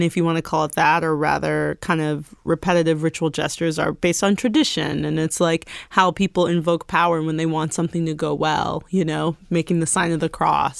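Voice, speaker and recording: A woman's voice: low-pitched, creaky, dry recording, clean studio sound. A woman giving thoughtful, articulate explanations as a guest in an interview. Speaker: guest theater director.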